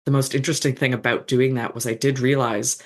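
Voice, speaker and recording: A guest author talks through ideas in a loose, rambling way. Sentences often restart, and "um" and "like" fill the pauses. The voice sits at an ordinary middle pitch. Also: flat delivery. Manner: thoughtful, rambling with fillers and restarts. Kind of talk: casual chat.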